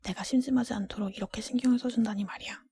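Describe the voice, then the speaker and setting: A woman voicing a kind, honest, resolute young woman in a fairy tale, polite and tender in a breathy whisper. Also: fairly high pitch, fairly even intonation, rapid-fire, dark tone, heavily acted. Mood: quiet wonder. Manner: polite, candid, tender speech.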